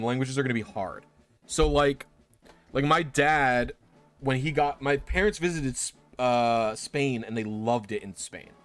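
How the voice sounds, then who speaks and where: A young man chatting casually on a stream, rambling with many restarts and fillers. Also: mid-range voice. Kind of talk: casual chat.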